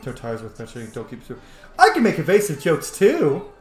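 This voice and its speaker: A man's voice: fairly high pitch, wildly swooping pitch, fairly quick. A man hosts a roleplay game session in casual commentary. His intonation moves around freely as he muses and explains. The voice has a roomy echo, with faint music behind. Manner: musing aloud, explanatory. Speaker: host.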